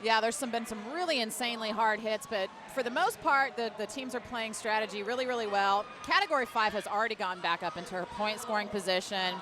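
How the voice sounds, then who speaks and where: A woman with an American accent giving live roller derby play-by-play, excited, emphatic and chatty. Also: a little high, fast talker, few pauses. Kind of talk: live play-by-play commentary with casual banter. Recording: noisy venue sound behind commentary. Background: loud venue noise.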